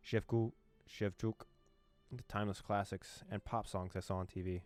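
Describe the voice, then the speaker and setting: Low-voiced man doing casual game commentary. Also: darkish tone. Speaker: gaming channel host. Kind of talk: let's play commentary, reading game text aloud. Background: game music.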